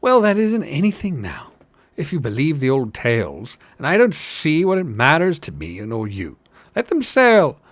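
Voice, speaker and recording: A man's voice: on the high side, wildly swooping pitch, moderate pace, no room sound, voice pushed up. A man voicing a skeptical storybook hobbit who talks in a dismissive, mocking, rustic way. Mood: scoffing. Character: scoffing, skeptical male hobbit, mocking pub debater. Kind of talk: audiobook-style fantasy character reading.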